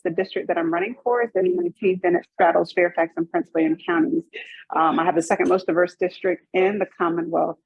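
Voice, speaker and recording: Husky woman on a muffled video call. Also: fairly low pitch, no echo. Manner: relaxed, multitasking while talking. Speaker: candidate for state office. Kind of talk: meeting self-introduction. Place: hallway at a basketball game.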